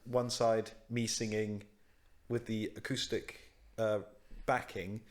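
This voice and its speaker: A man's voice: on the low side, level tone, slow pace. A man co-hosting a casual podcast chat among musicians, chatty and self-deprecating, laughing often.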